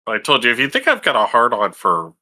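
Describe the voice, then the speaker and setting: A man's voice: mid-range voice, wildly swooping pitch, fast, pushed and bright. A man co-hosting a podcast, chatting casually with wry, blunt, sweary remarks. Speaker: podcast co-host. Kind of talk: casual chat.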